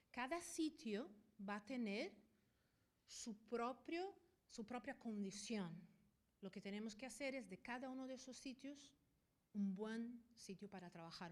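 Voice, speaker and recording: A high-pitched middle-aged woman, a Portuguese native from Brazil, speaking Spanish with the accent she picked up living in Spain. She lectures at a conference in a teacherly way and keeps checking in with the audience. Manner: didactic, often checks in with a quick "ok?". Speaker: veterinarian and university professor giving a talk. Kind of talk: conference presentation.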